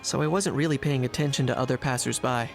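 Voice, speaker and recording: Anime game guard, male actor: modest, steady, understated, noticeably gravelly delivery. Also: mid-range voice, quick pace, close to an everyday voice. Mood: earnest. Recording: game music behind the voice.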